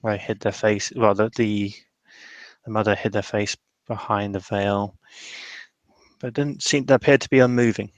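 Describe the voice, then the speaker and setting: A man playing a tabletop roleplaying game, improvising somber description in a halting way. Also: on the low side, steady tone, no echo.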